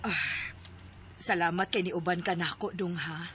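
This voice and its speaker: An actress playing a patient mother who pleads gently with her grown son. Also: lowish voice, fairly even intonation, a little slow, a little hiss or hum. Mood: tender.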